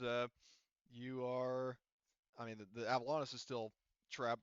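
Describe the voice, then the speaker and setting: A man with a smooth, clear voice runs a tabletop game as game master. His talk is casual and informal, and the close, dry recording sounds a little dull. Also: medium pitch, a bit bright, dry recording.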